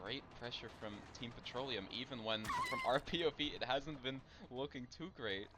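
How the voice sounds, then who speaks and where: Animated man casting esports, noisy mic. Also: mid-range voice, normal speed. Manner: animated analysis with sudden excited outbursts. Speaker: esports caster. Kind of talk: live esports commentary. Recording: loud noise on a stream mic.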